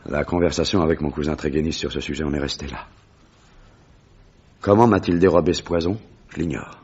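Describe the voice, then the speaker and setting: A very deep, husky, proud explorer. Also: male voice, brisk, a little mellow. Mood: bitter. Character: grave, proud male explorer haunted by lost love. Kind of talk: French radio drama acting. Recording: dull, lo-fi old radio recording.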